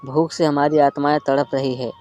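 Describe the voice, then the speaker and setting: A man's voice: on the high side, flat delivery, a little fast, darkish tone, slight background noise, lightly acted. A man voicing a starving, despairing man in hell who complains mournfully. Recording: faint flute music behind.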